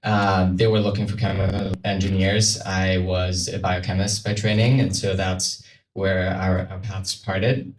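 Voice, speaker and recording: A man's voice: sits low, level tone, a little slow. A man from Switzerland speaking informally and somewhat hesitantly as a guest on a panel. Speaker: panel guest from a carbon removal company. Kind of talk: casual spoken panel talk. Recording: roomy, distant mic, noisy event space.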